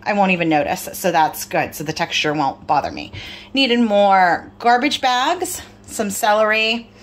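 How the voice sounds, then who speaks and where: A woman's voice: medium pitch, expressive, unhurried. A middle-aged woman vlogger, tired, chatting casually through a grocery haul with lots of fillers. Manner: rambling, filler-heavy, apologetic.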